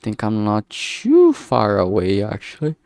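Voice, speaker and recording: A man's voice: on the low side, wildly swooping pitch, measured pace, a little mellow, no room sound, studio quality. A man thinking aloud casually as he works, speaking hesitantly and often trailing off mid-thought. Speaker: streamer making a visual novel.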